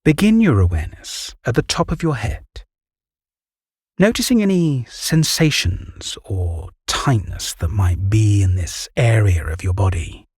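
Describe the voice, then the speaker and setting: Calm british guy doing a guided meditation, really slow and soft, close dry sound. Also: on the low side, breathy edge, no echo. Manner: calm, gentle, soothing, with measured pauses.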